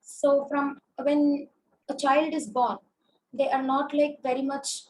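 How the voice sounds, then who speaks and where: A woman with an Indian English accent giving a webinar lecture as a physiotherapist, hoarse from a throat infection, explaining with many fillers and restarts. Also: sits high, little pitch movement, slow pace, darkish tone, slight background noise, rough recording. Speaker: consultant physiotherapist. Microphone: video call.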